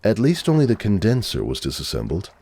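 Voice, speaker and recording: A man's voice: lowish voice, huge pitch swings, a little fast, dark tone, slightly rough. A man narrating a story from a script as a dramatic reading.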